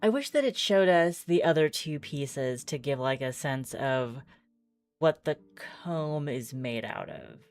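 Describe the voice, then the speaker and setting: A woman's voice: deep voice, animated, measured pace, darkish tone, faint music behind. A woman streamer doing chatty game playthrough commentary, reading text aloud and musing as she goes.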